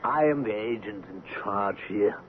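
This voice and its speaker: A man's voice: on the low side, unhurried, close to an everyday voice. Man acting imperious trading agent, tinny old radio. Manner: imperious, scornful, pulling rank. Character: pompous, callous ivory trading station agent, a man. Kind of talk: 1970s radio drama acting. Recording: noisy, tinny 1970s radio broadcast.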